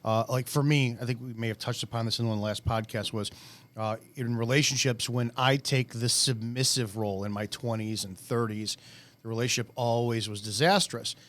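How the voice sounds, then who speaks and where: A man in his forties hosting a podcast, asking questions and sharing his views in a lively, conversational way. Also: medium pitch, brisk. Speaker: podcast host. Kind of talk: casual interview chat.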